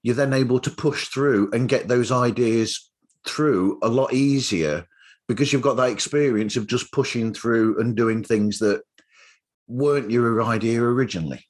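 A man's voice: medium pitch, moderate pace. A man hosting a casual interview, talking in a rambling, enthusiastic way. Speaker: podcast host interviewer.

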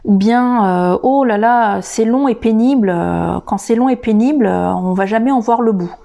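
A woman hosting a podcast lesson, talking to the listener informally and pausing often with filler sounds. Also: fairly high pitch, creaky, warm tone. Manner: hesitant, many filler sounds and restarts; addresses the listener directly and informally. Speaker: English teacher and podcast host.

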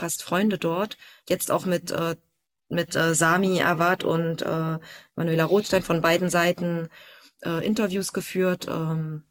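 A woman interviewing in a conversational way, feeling her way through long questions with some hesitation. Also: on the low side.

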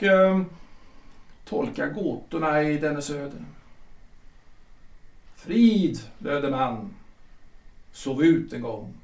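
A solemn, otherworldly guide of the dead, played by a man speaks in a high voice that rises and falls widely. He talks slowly and probes like a patient teacher. The voice rings in a roomy, echoing space. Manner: slow, solemn, questioning like a teacher. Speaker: guide of the afterlife. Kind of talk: audio drama acting. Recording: roomy reverberant room.

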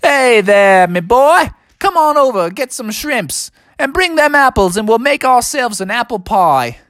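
A friendly storybook kangaroo, played by a man with a put-on Australian accent. He is laid-back and chummy, his voice pitched up and bouncing around in a lively, folksy way. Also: unhurried, no echo, studio quality, full character voice. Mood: welcoming.

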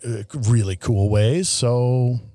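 Mellow-voiced enthusiastic co-host. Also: male voice, medium pitch, slow, no echo, clean studio sound. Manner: enthusiastic, stumbling over his words. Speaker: podcast co-host. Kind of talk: casual podcast chat. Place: studio.

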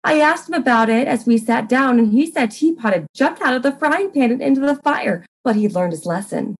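A woman's voice: high voice, lively intonation, fairly quick, creaky, a little hiss or hum. A woman playing a flustered, scatterbrained society wife who gossips coyly and hesitantly in a comic murder mystery reading. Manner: fluttery, hesitant, coyly confiding.

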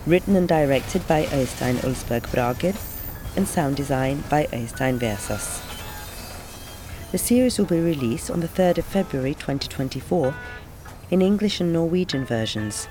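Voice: medium pitch, expressive, moderate pace, over music. A narrator reading scripted narration for an audio drama trailer and historical account.

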